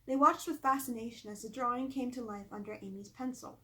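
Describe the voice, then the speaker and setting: Woman, higher-pitched voice, storybook narration, expressive delivery, chatty asides. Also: brisk. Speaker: author reading own book aloud. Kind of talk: storybook read-aloud narration.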